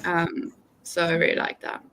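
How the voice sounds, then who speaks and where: A woman's voice: moderate pace, fairly bright tone. A woman co-hosting a livestream in casual chat, speaking informally with lots of "like". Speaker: co-host of reading livestream.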